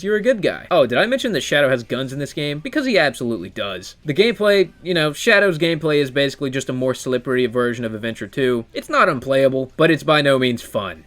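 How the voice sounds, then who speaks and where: A man's voice: mid-range voice, fairly bright tone. A young man giving casual scripted commentary on video games, as the host of a ranking video essay. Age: young adult. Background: music.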